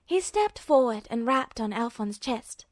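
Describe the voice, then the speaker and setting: A woman's voice: fairly high pitch, expressive, measured pace, dry recording. A woman narrating a story from a script as an audiobook-style reader. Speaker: podfic narrator.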